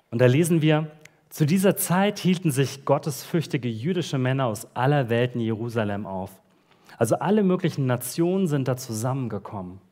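A man preaching a church sermon in a relaxed, conversational way with casual slang, reading Bible verses in between. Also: mid-range voice. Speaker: pastor. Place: church service.

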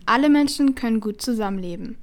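A woman reading a text in plain, simple language, slowly and clearly. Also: on the high side, lively intonation. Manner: slow, simple, clearly spoken. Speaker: reader.

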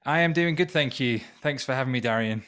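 A man's voice: mid-range voice, quick pace, a bit bright, clear, resonant tone. Give me a reflective man from North Wales in his thirties, speaking openly as a podcast guest. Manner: earnest, reflective, open about hard past. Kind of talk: personal story in a podcast interview. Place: temporary remote location.

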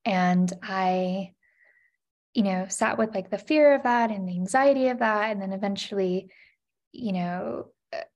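A woman's voice: mid-range voice, unhurried, a little mellow, highs cut off. A woman in her thirties, a podcast guest, sharing a personal story in an earnest, reflective way, with many "you know" and "like" fillers.

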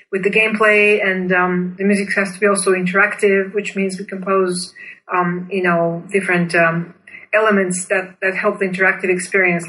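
A woman from Bulgaria, a native Bulgarian speaker, giving earnest, enthusiastic and deliberate explanations as an interview guest. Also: mid-range voice, sharp tone, poor audio. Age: 30s or older.

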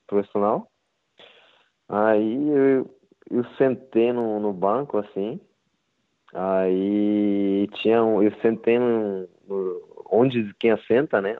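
Interviewed footballer: Japanese-accented man, low voice, halting non-native Portuguese over a thin phone connection. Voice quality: halting, simple Portuguese with many fillers. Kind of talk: casual interview. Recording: tinny phone-line sound.

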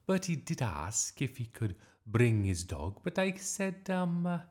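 A performer voicing a flustered, gentle woman schoolteacher in a storybook, hesitant and fussy. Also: medium pitch, sing-song, unhurried, pitched above the performer's own voice. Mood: apologetic, anxious.

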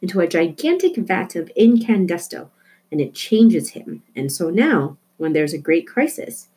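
Lady doing an excitable earnest kid girl in a storybook, explains stuff hard, bit husky. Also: on the low side, unhurried, heavily acted. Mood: earnest explaining. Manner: emphatic, explaining eagerly. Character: earnest, excitable young girl, self-declared cynic. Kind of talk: storybook character voice.

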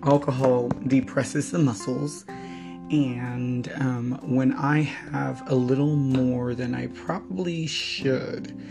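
A man hosting a reflective spiritual podcast, his voice hoarse and weakened, talking in a slow, wandering way. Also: mid-range voice, fairly even intonation, over music. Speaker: podcast host. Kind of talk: reflective solo podcast talk.

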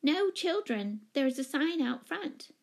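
Woman voicing a squeaky, timid little old lady. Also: very animated, measured pace, creaky, heavily acted. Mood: frightened refusal. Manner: fluttery, squeaky, apologetic. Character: timid, flustered small elderly lady tenant, apologetic.

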